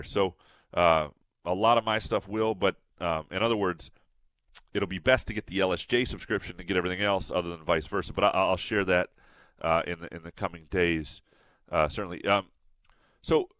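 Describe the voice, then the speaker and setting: A man's voice: low-pitched, a bit bright, no echo. Nasal-sounding man talking sports. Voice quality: slightly nasal. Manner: rambling, many restarts and fillers. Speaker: sports podcast co-host. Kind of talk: casual sports talk.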